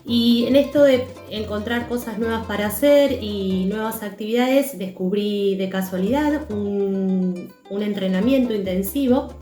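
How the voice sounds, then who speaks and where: A woman in her forties talks casually about finding copywriting, now and then restarting a phrase. Her voice rings in an echoey room, with gentle guitar music behind her. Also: mid-range voice, measured pace, hardly any pauses. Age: 40s. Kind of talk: recorded testimonial.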